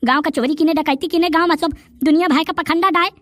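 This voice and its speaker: A man's voice: very high-pitched, fairly even intonation, fast, fairly bright tone, no room sound, studio quality. A man voicing a brash young village tough in a cartoon comedy, scolding and taunting in rustic Bundelkhandi speech. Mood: scolding, threatening.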